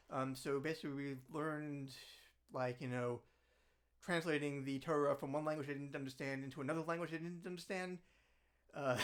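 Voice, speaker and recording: A man's voice: on the high side, a bit bright. A man hosting a solo livestream, chatting in a rambling, self-deprecating way with lots of fillers and restarts. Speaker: singer-songwriter hosting a livestream. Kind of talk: solo livestream with ukulele songs and chat. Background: ukulele accompaniment.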